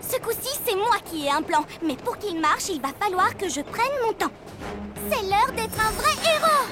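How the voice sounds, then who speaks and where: A woman's voice: extremely high pitch, expressive, moderate pace. A woman playing an impulsive, eager six-year-old superhero girl in a French cartoon dub. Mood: determined. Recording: loud music behind.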